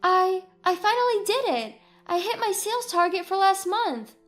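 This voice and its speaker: A woman's voice: extremely high pitch, expressive, normal speed, a bit bright, soft background music, voice pushed up. A woman playing an earnest, sensitive wife in dramatised story dialogue. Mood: grateful, eager.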